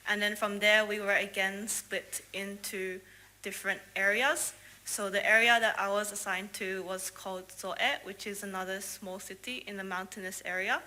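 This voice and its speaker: Bright-voiced young woman giving testimony. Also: mid-range voice, flat delivery, moderate pace. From Australia. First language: English. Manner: earnest, with frequent "um" and "like" fillers. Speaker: church member sharing a mission trip testimony. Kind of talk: prepared testimony talk to a congregation.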